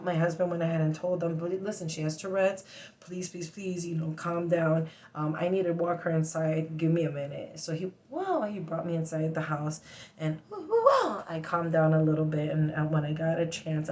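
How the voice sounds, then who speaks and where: Agitated, halting woman venting, slightly low voice. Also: lively intonation, darkish tone, lo-fi sound. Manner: blunt, sweary, halting speech with sudden involuntary vocal tic outbursts of "wow"; agitated, emphatic. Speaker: vlogger telling a personal story. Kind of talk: casual, venting storytelling.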